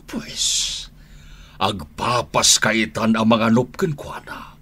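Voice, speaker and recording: A man's voice: fairly low pitch, slow, pushed and bright, slightly rough, full character voice. A man playing a stern, scheming older crime-boss father in an Ilocano radio drama, with a commanding manner. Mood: cold, commanding. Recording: noisy AM radio drama recording.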